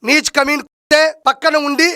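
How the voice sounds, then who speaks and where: A man's voice: very high voice, steady tone, brisk, bright, forceful tone, a little hiss or hum. A man delivering a combative political tirade in a mocking, abusive, taunting manner.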